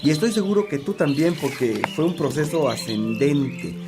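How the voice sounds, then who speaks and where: A man's voice: a little high, expressive, normal speed, music in the background, close to an everyday voice. A man acting a genial priest and old companion who likes reminiscing, in a religious radio drama. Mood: instructive, genial.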